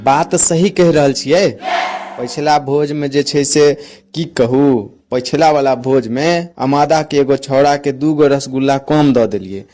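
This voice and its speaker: A man's voice: medium pitch, unhurried, a little mellow, faint music behind, some chatter behind, natural voice. A man voicing an aggrieved, blustering village in-law in a comic cartoon, complaining with a Maithili accent. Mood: indignant. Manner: complaining, indignant.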